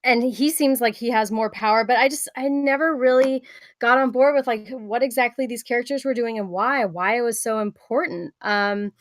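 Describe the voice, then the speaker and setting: Woman, slightly high pitch, informal reviewer, rambling halting phrasing, dry recording. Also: dry recording. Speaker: table reader giving script feedback. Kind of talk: casual spoken critique.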